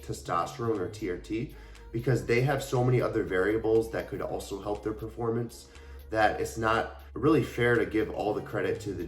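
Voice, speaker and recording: Stuffy-voiced man in an echoey room. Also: lowish voice. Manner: earnest, explanatory, addresses viewers directly. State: stuffy from a cold. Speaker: fitness coach and YouTuber. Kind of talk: casual talk to camera.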